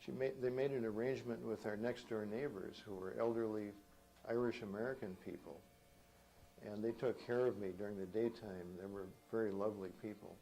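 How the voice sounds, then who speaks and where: A man in his seventies from Minnesota, recalling his life in an unhurried, reflective way for an oral history. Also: lowish voice, fairly even intonation, vocal fry, dark tone, poor audio. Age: 70s. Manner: unhurried, reflective storytelling with small restarts.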